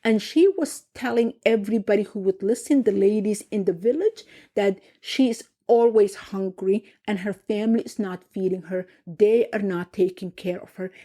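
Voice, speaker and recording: A middle-aged woman from Somalia, narrating her own family memoir in a reflective, unhurried way. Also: medium pitch, dark tone. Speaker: podcast host and narrator. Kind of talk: personal memoir storytelling.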